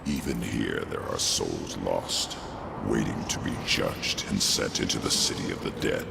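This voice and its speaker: A stern, weary spirit who judges the dead in a game. His voice is extremely low and heavily gravelly, with solemn authority. Game ambience hisses underneath. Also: unhurried, heavily acted. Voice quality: gravelly, very deep. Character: ancient male judge of lost souls, stern and weary. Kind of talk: game cutscene voice acting.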